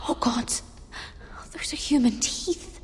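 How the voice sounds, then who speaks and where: A woman's voice: fairly high pitch, takes it slowly, slight background noise. A woman voicing a young noblewoman alone in a grim dungeon, murmuring warily to herself. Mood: uneasy. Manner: hushed, wary murmuring to herself. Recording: echoey game dungeon reverb.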